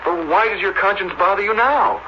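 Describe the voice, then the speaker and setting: An actor playing a world-weary, devout man offering himself as an experiment subject, in halting, earnest radio drama style. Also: sits high, natural voice. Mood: insistent pleading. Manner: halting, earnest, with repeated words and pauses. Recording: dull, noisy old radio drama recording.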